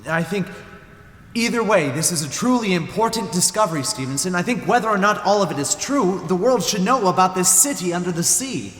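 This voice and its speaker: Man playing high, bright, earnest investigator, echoey. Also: animated, fairly quick, soft background music. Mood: earnest wonder. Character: earnest, excitable male investigator exploring with a recorder. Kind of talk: radio drama acting. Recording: echoey hall with faint noise.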